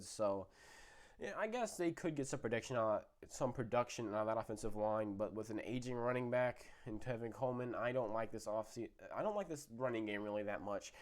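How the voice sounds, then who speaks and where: A young man, a little sick, giving casual football predictions with lots of fillers and self-corrections. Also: mid-range voice. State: slightly sick, voice a bit off. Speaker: sports video host.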